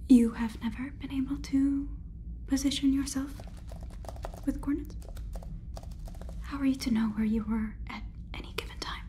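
A woman in her twenties doing a whispered ASMR role-play as a polite, curious AI robot overlord, speaking softly and haltingly close to the microphone. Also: high voice, steady tone, slight background noise. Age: 20s. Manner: soft, halting, deliberate whisper. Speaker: ASMR performer. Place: small room indoors, close up. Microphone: close sensitive microphone.

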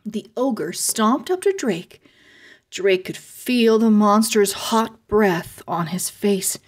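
A woman narrating a children's chapter book aloud, with careful, expressive storybook phrasing. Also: medium pitch, takes it slowly. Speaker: read-aloud host and narrator.

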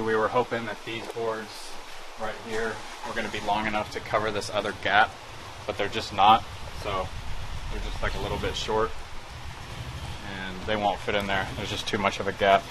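A man hosting a building vlog, casually explaining his work to viewers with lots of filler words. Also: lowish voice. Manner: relaxed, filler-heavy, speaks to viewers. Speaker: vlog host. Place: outdoors at a forest cabin build site. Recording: outdoor recording with clear background noise.

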